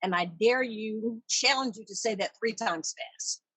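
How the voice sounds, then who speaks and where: A woman's voice: a little high, measured pace, a bit bright, breathy edge, no room sound, low-quality recording. Chatty woman hosting, dry close sound. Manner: chatty, self-deprecating humor. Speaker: exhibition chair hosting a virtual meeting. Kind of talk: casual welcome and chat. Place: online video meeting. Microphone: tablet on a video call.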